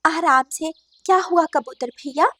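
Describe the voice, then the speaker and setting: A woman's voice: high voice, measured pace, fairly bright tone, dry recording, voice pushed up. A woman voicing a kind, practical female little bird in a cartoon, polite and encouraging. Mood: concerned. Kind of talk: cartoon character acting.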